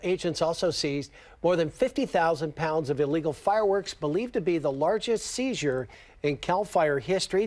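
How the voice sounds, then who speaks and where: A man anchoring a local TV newscast, reading stories in a steady, polished way. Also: a little high. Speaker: news anchor. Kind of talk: scripted news reading.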